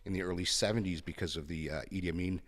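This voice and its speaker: A Canadian man in his fifties telling his personal story as a podcast guest, halting and reflective, pausing and repeating words. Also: sits low, fairly even intonation, fairly bright tone, long reverb tail. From Canada, born in Kenya.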